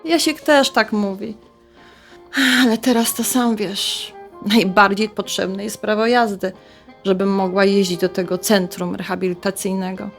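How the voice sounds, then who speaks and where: Middle-aged mom, woman acting, chatty and motherly, natural radio-drama dialogue, mid-pitched voice. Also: measured pace, soft background music, natural voice. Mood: worried. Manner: colloquial, expressive, motherly. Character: chatty, warm-hearted middle-aged mother of grown son.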